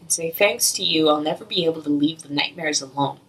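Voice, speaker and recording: A woman's voice: low-pitched, brisk, fairly bright tone. A young woman recites her own spoken-word poetry in an earnest, emotive, rhythmic delivery. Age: young adult. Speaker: slam poet. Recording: distant, off-mic amateur recording.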